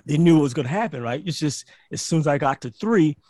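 A man's voice: fairly high pitch, fast talker, no echo, studio quality. A man from Barbados, a podcast guest, telling stories in casual chat with lots of restarts and "like". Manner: animated storyteller, repeats words and says "like" often.

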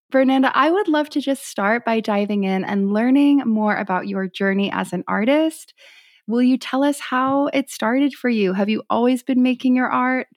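A woman's voice: mid-range voice, dry recording, studio quality. A woman hosting an interview podcast in an effusive, emphatic, enthusiastic way. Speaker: podcast host.

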